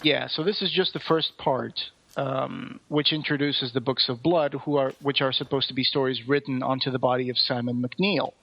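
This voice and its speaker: A man's voice: mid-range voice, steady tone, moderate pace, creaky. A man co-hosting a podcast, explaining things in detail in a casual discussion. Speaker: podcast co-host. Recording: roomy echo, dull muffled sound.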